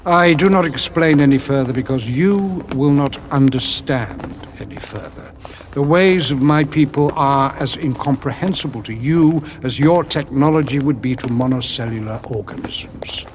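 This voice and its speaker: An actor playing a solemn, haughty alien sorcerer priest in a science fiction audio drama, with grandiose, archaic speech. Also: male voice, medium pitch, a little slow, a little hiss or hum, lightly acted.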